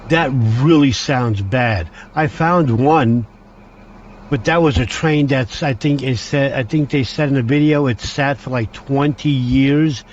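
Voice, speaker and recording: A man from Hungary, talking a train simulator through step by step, halting and restarting his sentences. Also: mid-range voice, dull audio, a little hiss or hum. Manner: halting, with frequent restarts, talks through each control step. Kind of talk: gameplay commentary.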